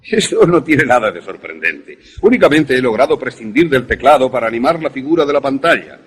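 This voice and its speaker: A man acting an enthusiastic professor in a radio drama, lecturing in a deliberate, didactic, theatrical way. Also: medium pitch, big pitch swings, husky. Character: enthusiastic male professor demonstrating an invention to students.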